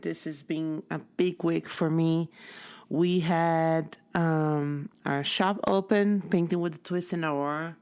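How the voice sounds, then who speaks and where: A woman's voice: lowish voice, darkish tone, clear, resonant tone. A woman whose first language is Portuguese, hosting her own podcast in a chatty, storytelling monologue with frequent self-corrections. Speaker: podcast host. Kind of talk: casual motivational monologue.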